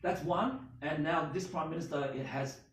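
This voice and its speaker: A hoarse Australian man leading a small congregation, preaching and praying in a halting way with many restarts. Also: on the high side. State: hoarse, losing his voice. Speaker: congregation leader. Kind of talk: sermon and spoken prayer. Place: small indoor synagogue. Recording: echoey room from far off.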